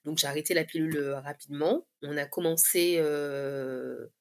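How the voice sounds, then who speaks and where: A woman in her thirties giving a candid personal testimony in conversation, often pausing mid-phrase as she searches for words. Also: low voice, steady tone, darkish tone. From Guadeloupe. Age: 30s.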